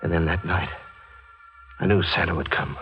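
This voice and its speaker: A man's voice: deep voice, dull audio, natural voice. An actor playing a tormented, guilt-haunted man in a 1940s radio thriller, narrating and speaking with brooding intensity. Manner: brooding, intense, halting in dialogue. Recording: 1940s radio recording with music behind.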